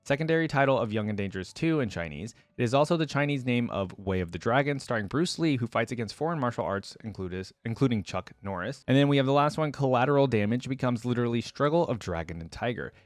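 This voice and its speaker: A man's voice: medium pitch, lively intonation, fast talker, few pauses, dry recording, studio quality. A man hosting a casual reaction video, musing aloud in a conversational, informal way. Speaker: YouTube host. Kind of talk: casual reaction commentary, thinking aloud and reading trivia.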